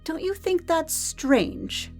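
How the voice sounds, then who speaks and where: A woman's voice: very high voice, slow, darkish tone. A woman acting a guarded, blunt arena worker. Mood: skeptical, pressing. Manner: blunt, dry, pressing. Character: guarded, weary, probing female arena worker. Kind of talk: audio drama acting. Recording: music under the voice.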